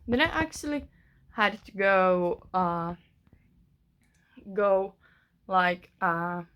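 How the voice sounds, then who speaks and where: A woman's voice: medium pitch, animated, very slow, a bit bright, highs cut off. A young woman with a Finnish accent gives a casual writing-vlog update from her bedroom, rambling with many fillers and restarts. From Finland. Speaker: author vlogger.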